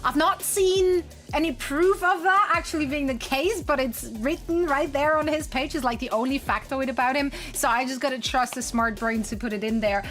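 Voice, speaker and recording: A woman's voice: sits high, normal speed, hardly any pauses, fairly bright tone, faint music behind. A woman hosting an esports analyst desk, chatty and playful, with a joking streak.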